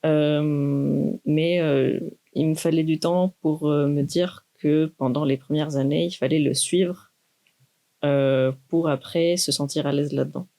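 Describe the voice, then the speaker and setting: A young woman, a doctoral student speaking in a thoughtful, measured way with many pauses in a university podcast interview. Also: sits low, steady tone, dark tone. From Greece. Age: 20s. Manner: measured, thoughtful, with frequent hesitations.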